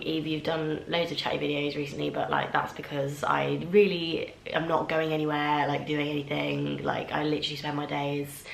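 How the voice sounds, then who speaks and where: A woman's voice: sits low, gravelly, fairly bright tone, slight background noise. A young woman chatting casually to camera as a vlogger, with a stuffy nose and lots of filler words. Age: young adult. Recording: roomy echo.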